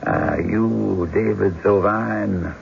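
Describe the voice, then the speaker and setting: An actor playing a formal, probing prosecutor with a deliberate official manner in a radio drama. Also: male voice, on the low side, vocal fry, heavily acted. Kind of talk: 1970s radio drama acting. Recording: muffled, noisy old radio broadcast.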